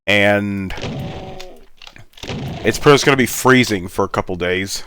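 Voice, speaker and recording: A man's voice: on the low side, unhurried, fairly bright tone, slight background noise. A congested man with a sore throat, rambling in a laid-back way as he hosts gameplay commentary over a headset mic. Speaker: gaming channel host.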